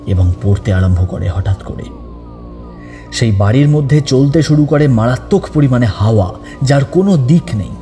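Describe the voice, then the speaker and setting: A man's voice: medium pitch, mellow. A man narrating a scripted horror story as an audiobook narrator. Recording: long reverb over background music.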